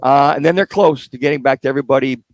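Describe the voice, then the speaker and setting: A man's voice: medium pitch, racing, no echo. An American man co-hosting a sports radio show, giving casual, conversational analysis. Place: live remote radio broadcast at a car dealership.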